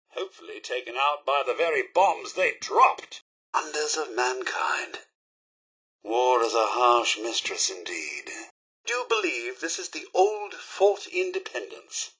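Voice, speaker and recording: Fussy British robot butler for a game, droll and pompous, bright raspy tone, wildly animated pitch. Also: male voice, heavily acted. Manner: pompous, florid, theatrically courteous. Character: polite, fussy male robot butler, droll and long-suffering. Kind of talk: video game character voice lines.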